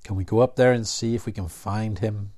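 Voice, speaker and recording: A man voicing an earnest, steady young husband who stays calm under threat. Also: on the low side, a little fast, lightly acted.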